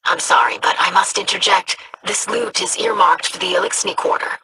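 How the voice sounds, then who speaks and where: An actress voicing an earnest young female alien scholar in a video game, creaky-voiced, formal, precise and courteous. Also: on the low side, steady tone, moderate pace, background noise, lightly acted. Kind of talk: video game character voice lines.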